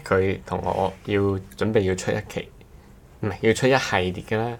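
A man's voice: on the low side, steady tone, moderate pace, gravelly, soft background music. A man with a Cantonese accent chatting casually as a podcast guest, joking and poking fun at himself. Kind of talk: casual chat.

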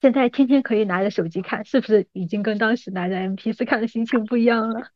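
A woman's voice: fairly high pitch, fast, no echo. Female host in her thirties, slightly muffled sound. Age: 30s. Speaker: podcast host. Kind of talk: casual chat.

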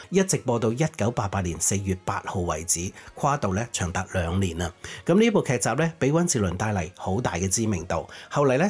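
A man's voice: mid-range voice, a little mellow, husky. A man speaking Cantonese hosts a radio music show, narrating pop history in an informative way.

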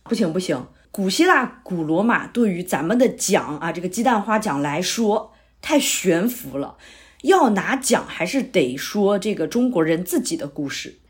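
A woman's voice: fairly low pitch, big pitch swings, moderate pace. A woman playing an earnest male playwright in an audio drama, talking persuasively and explaining his ideas at length. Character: earnest middle-aged male playwright, eager pitcher. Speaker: playwright character.

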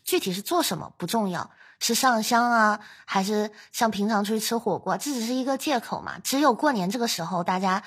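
A woman's voice: medium pitch, a little fast, hardly any pauses, slightly rough. A young adult woman hosting a casual podcast, telling things in a chatty, reflective way.

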